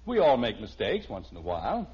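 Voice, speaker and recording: A man's voice: mid-range voice, wildly swooping pitch, fairly quick, darkish tone, a little hiss or hum. An American actor playing a flustered, sheepish middle-aged father who stammers and hesitates in a 1950s radio sitcom. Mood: earnest, defensive. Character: flustered, sheepish family father trying to explain himself. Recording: muffled old-time radio recording.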